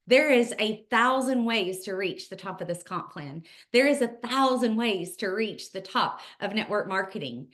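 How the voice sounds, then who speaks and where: A woman hosting a team training, speaking in an energetic, earnest way as she tells a lesson and urges her team on. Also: medium pitch. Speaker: team leader, host.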